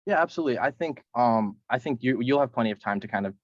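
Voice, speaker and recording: A man co-hosting a webinar who answers in a relaxed, agreeable way. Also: mid-range voice, fast, dry recording. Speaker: webinar co-host. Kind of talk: casual reply on a call. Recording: dull lo-fi call audio.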